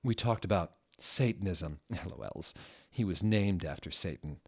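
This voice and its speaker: A man narrating a story as a comic dramatic reading, with a theatrical, deliberate, mock-serious delivery. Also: low-pitched, expressive, slightly rough.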